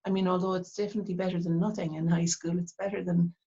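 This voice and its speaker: Soft-spoken Irish woman, dull sound. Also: on the low side, flat delivery, husky. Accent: Irish, Cork. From Ireland. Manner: reflective, halting, many fillers and restarts. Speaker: artist, interview guest. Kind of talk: casual interview talk.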